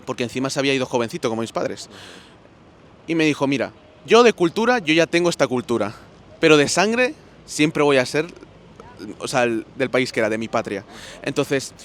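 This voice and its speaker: Young man, from Spain, quick speech, faint background noise, anecdotal and relaxed. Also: medium pitch. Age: young adult. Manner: relaxed, anecdotal, fillers like pues. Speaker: interviewee. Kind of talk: street interview answers.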